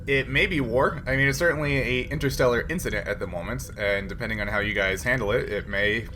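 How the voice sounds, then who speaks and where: A man with a mid-range voice acts as game master, explaining the scenario in a halting, filler-heavy way. The recording carries clear background noise. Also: medium pitch, bright, forceful tone. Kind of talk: narrating and explaining a tabletop roleplaying game.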